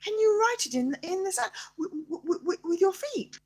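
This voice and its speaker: A woman's voice: very high-pitched, very animated, normal speed, a little mellow, pitched above the performer's own voice, full character voice. A woman acting out a tender, earnest storybook character who coos and coaxes a shy creature, repeating herself. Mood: pleading. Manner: cooing, coaxing, repetitive.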